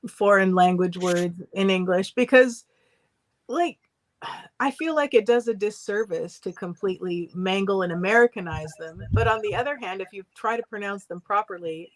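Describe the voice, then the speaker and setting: Female co-host, ordinary mid-range voice; thoughtful, dry humor, quick to laugh at herself. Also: mid-range voice, low-quality recording. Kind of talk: casual chat on a live stream.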